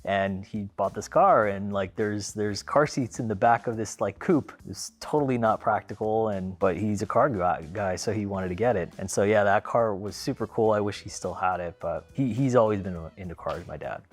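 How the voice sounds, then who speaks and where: A man in his thirties speaks in a low, easygoing voice, wandering through his story with fillers and restarts. Faint music plays quietly under his words. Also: normal speed, hardly any pauses. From Philippines, raised in Los Angeles. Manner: relaxed, rambling, frequent fillers and restarts. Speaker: car owner. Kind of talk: casual storytelling to camera.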